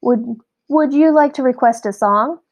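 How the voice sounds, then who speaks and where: A woman's voice: high-pitched, unhurried, voice pushed up, low-quality recording. A woman voicing a jovial, hearty male quoll bandleader with a showy manner in a children's storybook. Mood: friendly. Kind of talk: children's storybook read-aloud.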